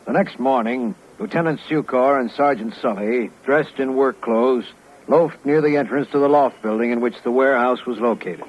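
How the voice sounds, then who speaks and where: A man's voice: mid-range voice, normal speed, lightly acted. A man playing the earnest narrator of a 1950s radio crime show, reading formal scripted narration. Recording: muffled, faintly noisy old radio recording.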